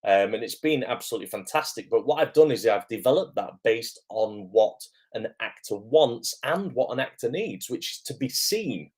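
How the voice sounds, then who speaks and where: A man whose voice is hoarse and a bit croaky from being ill talks casually to his livestream viewers as an acting coach. He keeps a chatty flow, dotted with "um" and greetings by name. His voice sits at an ordinary middle pitch. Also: animated, moderate pace, few pauses, darkish tone. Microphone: camera microphone.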